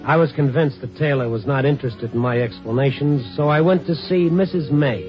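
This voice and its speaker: An actor playing a world-weary, persistent newspaper reporter with a clipped hard-boiled manner, in an old-time radio drama. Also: male voice, mid-range voice, brisk, music in the background, close to an everyday voice. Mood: wry narration. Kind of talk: old-time radio acting and scripted narration. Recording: dull old radio recording.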